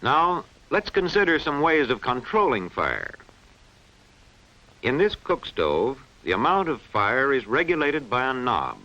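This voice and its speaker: Bright-voiced man narrating an old educational film. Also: mid-range voice, huge pitch swings, a little slow. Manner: clearly enunciated, didactic delivery. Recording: dull, band-limited vintage film soundtrack.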